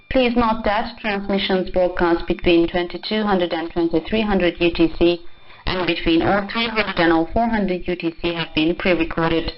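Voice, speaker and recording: A woman radio announcer reading a formal, scripted station announcement. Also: fairly low pitch. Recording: muffled shortwave radio broadcast with static.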